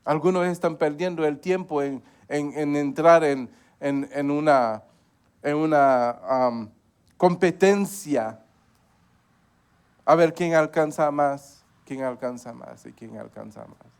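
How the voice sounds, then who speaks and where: A man preaching a sermon, punctuating his points with amens, rising in emphasis and then dropping low. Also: slow, darkish tone, smooth. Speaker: pastor.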